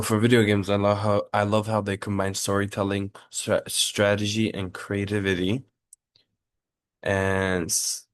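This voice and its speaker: A man's voice: lowish voice, no room sound, studio quality. A young man from Turkey giving a prepared class speech, earnest, with small restarts and fillers.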